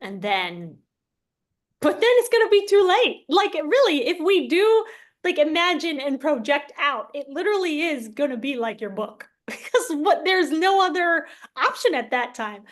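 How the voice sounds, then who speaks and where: High-pitched woman chatting informally. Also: very animated, moderate pace. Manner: informal, emphatic, repeats phrases for emphasis. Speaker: interview host. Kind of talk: casual chat.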